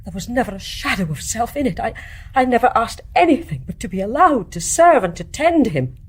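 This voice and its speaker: An actress playing an embittered, devoted nurse in a radio play, with fervent, scornful, emphatic delivery. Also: female voice, on the high side, sing-song, fairly quick, slightly rough. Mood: anguished grief. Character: embittered, devoutly loving nurse, accusing and anguished. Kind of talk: intense stage-play dramatic acting.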